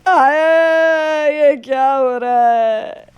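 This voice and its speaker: A woman's voice: high-pitched, drawn-out words, no room sound. A woman voicing a fretful middle-aged mother-in-law in a story, theatrical and wailing. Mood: tearful, lamenting.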